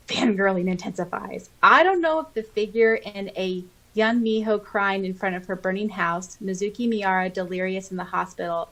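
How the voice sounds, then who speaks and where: A woman with a medium-pitched voice co-hosts a casual fan podcast. She reads comments aloud expressively, with an exclamatory, conversational delivery. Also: fairly bright tone, poor audio. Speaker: podcast co-host. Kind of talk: casual fan chat, reading out listener comments.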